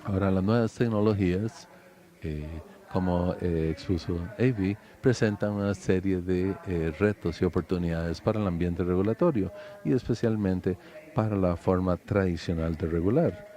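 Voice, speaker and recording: A man giving a formal conference presentation as a panelist, measured, with frequent hesitant pauses. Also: low voice, mellow.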